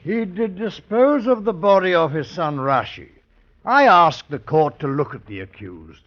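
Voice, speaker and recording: High-pitched, pompous courtroom prosecutor. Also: male voice, big pitch swings, a little slow. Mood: accusing. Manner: grandiloquent oratory. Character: florid, pompous male court prosecutor, theatrical and outraged. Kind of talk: 1950s radio drama acting. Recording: dull vintage radio broadcast.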